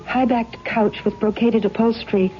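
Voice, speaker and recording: A woman's voice: a little high, steady tone, moderate pace, natural voice. An actress in a radio drama playing a curious, impressionable young woman with an earnest, hesitant delivery. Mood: entranced. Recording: dull old radio recording with music.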